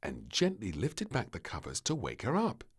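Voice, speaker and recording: A man narrating a children's storybook in an expressive, theatrical and measured way. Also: on the low side, husky.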